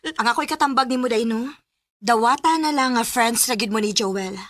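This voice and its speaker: A woman's voice: fairly high pitch, moderate pace, close to an everyday voice. An actress playing a frank, caring young woman who prods and advises her friend, in a radio drama. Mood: consoling.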